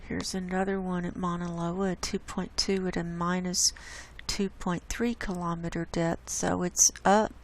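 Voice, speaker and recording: Hoarse lady going over quake maps, low flat voice, slow and gravelly, a little hiss behind her. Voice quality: gravelly, coughs and clears throat. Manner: unhurried, talks through maps pointing things out. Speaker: online science channel host. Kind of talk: casual screen-share data report. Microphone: desk mic.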